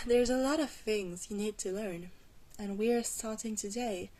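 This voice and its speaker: A woman playing a glamorous vampire mentor in an ASMR roleplay, patient, reassuring and gently wry, with a soft, hesitant delivery. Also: medium pitch, measured pace, lightly acted, low-quality recording. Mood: calm, instructive.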